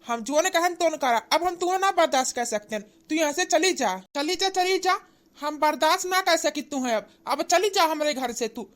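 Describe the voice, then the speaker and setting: An angry village husband and devoted son voiced by a woman for cartoon comedy. The voice is high, with an Awadhi accent. The delivery is callous and hectoring. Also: expressive, normal speed, fairly bright tone. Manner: hectoring, repetitive scolding.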